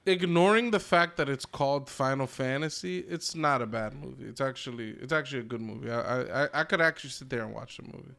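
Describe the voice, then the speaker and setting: Bright, forceful man, casual podcast co-host. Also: medium pitch, quick pace, gravelly, few pauses. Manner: blunt, slangy, swearing. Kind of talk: casual chat, giving opinions.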